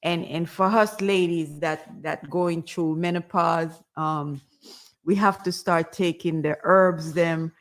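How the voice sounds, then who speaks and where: Jamaican woman giving gentle health advice. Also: lowish voice, steady tone, slow pace. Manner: gentle, rambling, many fillers. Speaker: guest. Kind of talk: casual health advice chat.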